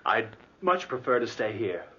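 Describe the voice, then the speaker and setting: A man's voice: fairly low pitch, very animated, normal speed, bright, forceful tone, husky, full character voice. A man acting a nervous, tormented nephew who narrates his madness in an intense 1940s radio drama style, breaking into whispers. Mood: uneasy, guarded. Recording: dull, noisy 1940s radio recording.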